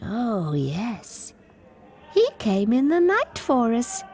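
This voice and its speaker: A woman's voice: a little high, huge pitch swings, drawn-out words, warm tone, heavily acted. A woman playing a childlike, disturbed asylum patient in a video game, plaintive and confiding. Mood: hushed, resentful. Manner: childish, plaintive, drifting into breathy confiding whispers. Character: childlike disturbed female asylum patient devoted to her doll.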